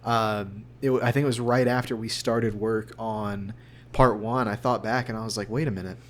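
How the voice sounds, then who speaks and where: A young man chatting casually as an interview guest, rambling and halting in a self-deprecating way. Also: lowish voice, fairly even intonation, a little hiss or hum. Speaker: voice actor guest.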